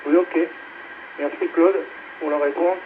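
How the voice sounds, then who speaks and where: A man's voice: a little high, steady tone, a little slow. A man chatting casually as an amateur radio operator, plain-spoken in short phrases over a radio relay. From France. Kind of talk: casual chat on a ham radio net. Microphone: two-way radio microphone. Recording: noisy narrowband amateur radio relay transmission.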